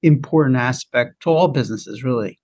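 Podcast host, upbeat, encouraging, mid-pitched voice. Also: brisk, a little mellow. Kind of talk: interview questions.

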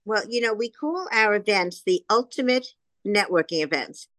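A woman's voice: medium pitch, lively intonation, normal speed, a bit bright, dry recording. A woman from New hosting a podcast interview with gushing, effusive praise for her guest. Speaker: podcast host, publisher.

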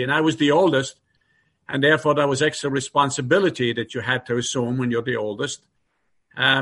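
A man with a New York accent carrying traces of his Irish upbringing, answering interview questions in a measured, steady way. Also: medium pitch, vocal fry. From Ireland. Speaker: guest executive.

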